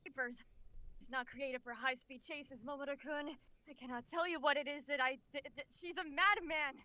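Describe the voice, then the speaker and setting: Woman as high, bright, fussily proper anime character. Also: fairly even intonation, moderate pace, no echo. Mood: flustered. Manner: fussy, formal diction. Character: flustered, proper, old-fashioned polite young character. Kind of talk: anime comic dub.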